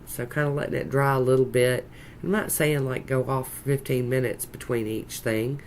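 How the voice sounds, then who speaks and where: Croaky Southern US woman, congested and chatty. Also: rumbling low, fairly even intonation, moderate pace, a little mellow. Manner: folksy, rambling, chatty. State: congested with a sinus infection, sore throat. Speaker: nail art tutorial host. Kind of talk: casual narrated tutorial chat. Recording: noticeable background noise.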